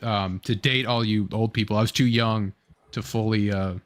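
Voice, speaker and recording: A young adult man hosting a casual online fan discussion, talking in a rambling, halting way with fragmented phrasing. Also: lowish voice, fairly even intonation. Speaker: online show host. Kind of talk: casual fan commentary, reading chat comments.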